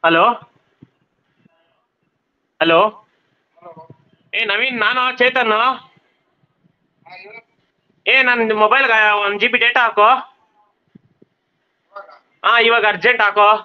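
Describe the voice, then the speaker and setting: Casting: very high-pitched man, informal, repetitive chatter, muffled chat audio. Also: slow, long pauses, smooth. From Karnataka, India. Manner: informal, repeats short phrases. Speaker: stranger on a random video chat. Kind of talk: casual chat.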